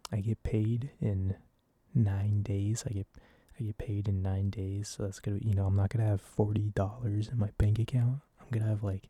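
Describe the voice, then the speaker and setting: A man softly rambling in a casual ASMR style, hesitant and informal, with a breathy voice. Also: on the low side, no room sound. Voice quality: soft, breathy. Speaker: video creator. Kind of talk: soft-spoken ASMR ramble.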